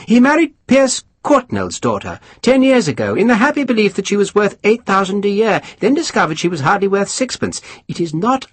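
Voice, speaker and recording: A man's voice: high voice, a bit bright, slightly rough, no echo, voice pushed up, exaggerated voice. A man voicing a foppish, sly English lord who speaks with exaggerated civility and relishes gossip. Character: foppish high-voiced English lordship, sly and amused.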